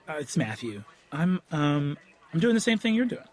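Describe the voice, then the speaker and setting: A man's voice: on the high side, big pitch swings, normal speed. An actor playing an awkward teenage boy who stammers and fumbles for excuses. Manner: hesitant, stammering.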